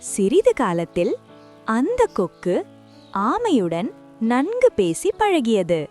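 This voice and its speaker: A woman narrating a scripted children's story in short, deliberate phrases. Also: fairly high pitch, wildly swooping pitch, a little mellow, soft background music.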